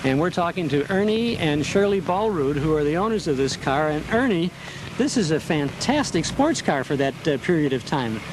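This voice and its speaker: A man with an American accent and a fairly high voice hosts a casual interview. He is recorded outdoors at a car meet, with faint vehicle noise around him. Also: expressive, normal speed. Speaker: show host and interviewer.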